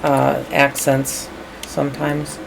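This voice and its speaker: Low-pitched Chicago woman chatting casually. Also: completely flat delivery, slow pace, gravelly, noisy background. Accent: Chicago American. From Chicago area, United States. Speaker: co-host of a video game stream, English teacher. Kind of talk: casual chat while playing a game.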